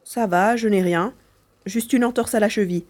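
A woman's voice: medium pitch, moderate pace, darkish tone, natural voice. A woman playing an earnest, caring young boy in a French audio drama. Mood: reassuring.

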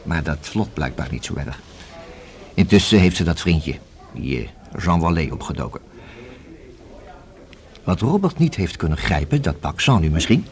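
Deep-voiced methodical police inspector. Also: male voice, big pitch swings, full character voice. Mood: pensive, speculating. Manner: formal, deliberate, measured questioning. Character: methodical male police inspector, reasoning and probing. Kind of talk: radio drama acting. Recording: hissy old radio play recording.

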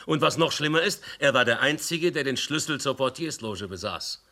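An actor playing a blunt, incredulous police commissioner with an emphatic, probing delivery in a German radio play. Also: male voice, medium pitch, expressive, moderate pace, pushed and bright. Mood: emphatic warning.

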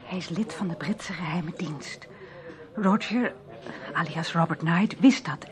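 A woman's voice: fairly low pitch, unhurried, lightly acted. A woman playing an earnest, anxious heroine in a radio drama, speaking somewhat hesitantly. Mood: hushed, serious. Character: earnest, anxious woman searching for her brother.

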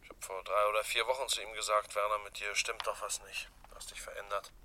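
A guarded businessman in a radio crime play. His voice is fairly low but extremely bright and hard-edged. He answers haltingly, keeping himself in check. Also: level tone, slight background noise. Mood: guarded, reflective. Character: guarded businessman questioned about his dead friend. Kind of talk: German radio crime drama acting.

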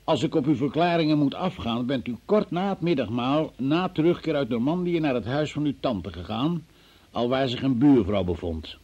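An actor playing a stern, cold examining magistrate in a radio play, questioning formally and relentlessly with a gravelly, husky voice. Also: male voice, medium pitch, lively intonation, darkish tone, lo-fi sound. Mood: formal, probing. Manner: formal, accusing, deliberate. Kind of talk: Dutch radio play interrogation acting.

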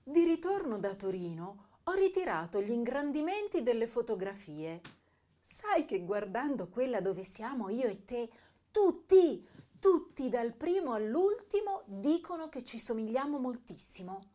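Woman acting an affectionate teen girl reading her letter, soft mellow high voice, really swoopy and tender. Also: big pitch swings, a little slow. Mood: tender, adoring. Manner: tender, effusive. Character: affectionate, effusive fifteen-year-old girl writing to her grandmother.

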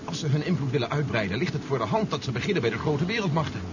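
A man's voice: fairly high pitch, expressive, racing, close to an everyday voice. A man acting a sober, shrewd, decisive adventurer in a radio drama. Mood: questioning, practical. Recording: muffled, noisy old radio recording.

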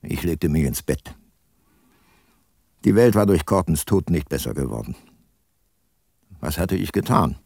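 An actor playing a brooding, resolute older detective in a radio drama, speaking gravely and deliberately. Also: male voice, extremely low pitch, expressive, a little mellow, no room sound, studio quality, heavily acted. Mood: sombre, reflective. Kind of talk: radio drama dialogue and first-person narration.

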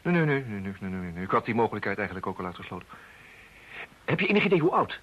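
An actor playing a brisk, charming private detective in an old radio play. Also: male voice, on the low side, very animated, husky. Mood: inquisitive. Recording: dull, muffled old radio recording.

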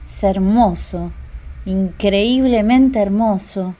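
Woman acting a calm gentle lady in a radio play, warm mellow voice, takes her time. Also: medium pitch, takes it slowly, close to an everyday voice. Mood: soft, wondering.

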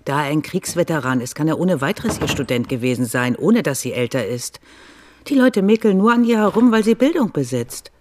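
A woman's voice: low-pitched, expressive, fairly quick, a little mellow, slight background noise. An actress playing an opinionated, outspoken small-town mother with an emphatic manner in a radio drama. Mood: insistent, defensive.

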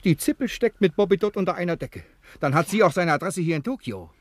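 A man's voice: high-pitched, animated, quick pace, no room sound. A man acting in a 1960s German radio drama as a cunning, adventurous husband on the run, playful and commanding in a conspiratorial way. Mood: scheming.

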